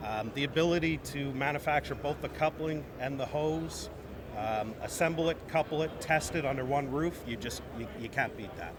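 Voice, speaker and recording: A man speaking as a company representative in an informal trade show interview, emphatic and repeating phrases for stress. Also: medium pitch. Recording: noisy busy venue background.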